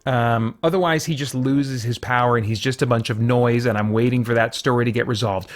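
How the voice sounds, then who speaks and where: A man's voice: mid-range voice, steady tone, fairly quick. A man hosting a review show, talking casually in unscripted commentary and searching for his words as he thinks aloud. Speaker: host of a comic book review show.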